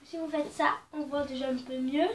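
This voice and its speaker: A woman's voice: high-pitched, steady tone, moderate pace. A young woman with a stuffy nose from a cold, chatting playfully and rambling through a craft tutorial filmed at home on her phone. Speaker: craft vlogger. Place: at home indoors. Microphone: phone camera.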